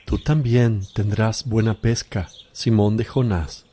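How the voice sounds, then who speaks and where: Calm solemn religious teacher, slow deliberate phrasing, warm dark timbre, radio drama. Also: male voice, lowish voice, slight background noise. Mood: calm, assuring.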